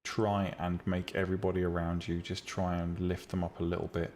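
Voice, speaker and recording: A man's voice: low-pitched, a little fast, a little hiss or hum. A man live-streaming, chatting casually and bluntly with his viewers about making 3D tutorials, with lots of ums and restarts. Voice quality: calm, relaxing voice. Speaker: streamer teaching 3D rendering and visual novel making.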